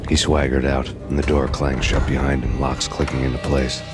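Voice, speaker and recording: An actor playing a grim, hard-boiled cop who narrates in a deadpan, world-weary voice-over over game music. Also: male voice, extremely low pitch, moderate pace. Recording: game soundtrack music behind.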